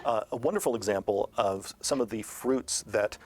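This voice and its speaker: A man's voice: on the low side, moderate pace, a little mellow, husky. A man talking as a game designer in an interview, explaining a tabletop game book in a conversational way.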